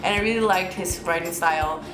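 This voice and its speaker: A woman's voice: on the low side, brisk. Young woman, from Mexico, Spanish first language, rambling casual chat, roomy echo, music underneath. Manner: chatty, rambling, many restarts and repeated words. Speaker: book vlogger. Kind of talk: casual book haul vlog.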